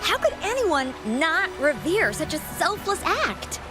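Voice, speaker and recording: A woman's voice: very high voice, very animated, measured pace, full character voice. A woman playing a curious, earnest female adventurer and eager admirer in a fantasy video game, with music behind her. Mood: admiring, wistful. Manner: earnest, inquisitive.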